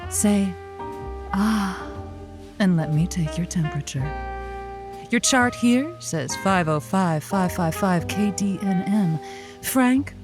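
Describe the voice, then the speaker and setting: An actress playing a sardonic, flirtatious late-night radio host in an audio comedy, teasing and theatrical. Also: female voice, mid-range voice, animated, takes it slowly, pauses often, over music. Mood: sultry, playful.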